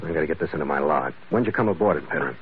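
Clipped American sea captain, muffled old radio. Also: male voice, rumbling low, expressive, racing, slight background noise. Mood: stern, questioning. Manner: clipped, terse, hard-boiled delivery. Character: firm, weary ship's master narrating his log. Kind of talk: 1940s radio adventure acting. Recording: muffled old radio recording with loud music.

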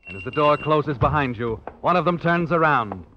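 An actor playing a knowing, taunting narrator in old radio suspense style, insinuating and ominous. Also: male voice, on the high side, animated, normal speed, slight background noise, lightly acted. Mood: suspenseful. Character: knowing, taunting male narrator haunting the hero. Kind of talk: 1940s radio suspense narration in second person. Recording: dull vintage radio recording. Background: dramatic music.

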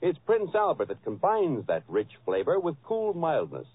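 A man working as a commercial announcer, delivering a scripted 1940s radio ad pitch. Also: huge pitch swings, normal speed, slightly rough. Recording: narrow-band vintage radio recording.

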